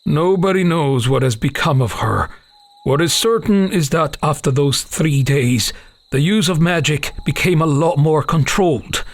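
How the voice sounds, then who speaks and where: A man narrating a scripted fantasy audiobook as a dramatic, measured, solemn storyteller. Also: a little high, dry recording.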